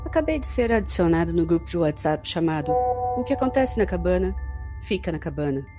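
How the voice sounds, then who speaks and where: An actress with a Brazilian accent playing a chatty, affectionate young girlfriend in an informal, expressive audio drama. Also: fairly low pitch, a little fast. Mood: excited, confiding. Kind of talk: audio drama acting, diary-like monologue. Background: music.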